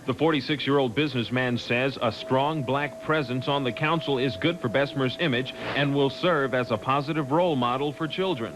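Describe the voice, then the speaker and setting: A man's voice: mid-range voice, a little fast, hardly any pauses, background noise. A man narrating a scripted local television news report as a reporter. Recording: 1980s TV broadcast with background din.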